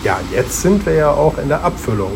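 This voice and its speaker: A man's voice: fairly high pitch, very animated, a little fast, gravelly, background noise. A man playing a friendly, knowledgeable returnable bottle who patiently explains things in a children's radio play. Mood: patiently explaining. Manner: reassuring, explanatory.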